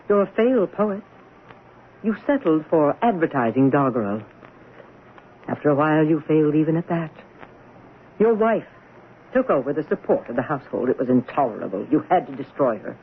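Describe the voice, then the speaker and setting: Domineering psychiatrist, highly animated delivery. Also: male voice, normal speed, pauses often. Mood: stern, belittling. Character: domineering psychiatrist, coolly belittling, secretly scheming. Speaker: doctor. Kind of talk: radio drama acting. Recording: tinny old radio broadcast, faint hiss.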